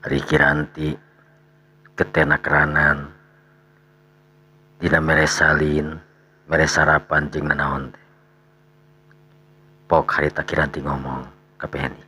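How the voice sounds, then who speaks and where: A man narrating a dramatic radio tale as storyteller, with a gravelly, husky voice and pauses between short phrases. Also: a bass voice, monotone, sharp tone.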